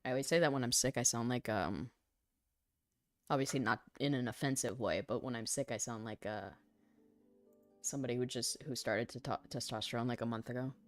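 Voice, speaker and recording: A woman streamer with a cold, her throat dry and husky, chatting in a rambling, self-deprecating way with her viewers. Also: very deep voice, brisk. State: hoarse from a cold. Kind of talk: casual chat with stream viewers.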